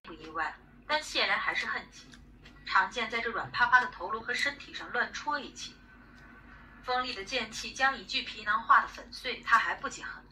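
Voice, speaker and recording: Bright, forceful woman narrating fiction. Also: on the high side, normal speed. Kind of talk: dramatic audiobook narration. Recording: distant playback with faint noise.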